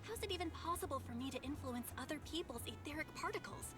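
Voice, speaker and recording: An actress playing an earnest young heroine in a video game, speaking in a conversational way. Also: female voice, high-pitched, animated, quick pace. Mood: puzzled. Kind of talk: scripted video game dialogue. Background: game music.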